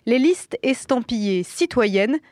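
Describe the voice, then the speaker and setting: A woman's voice: on the high side, lively intonation, slow, darkish tone, no echo, clean studio sound. A woman reading scripted report narration as a radio presenter.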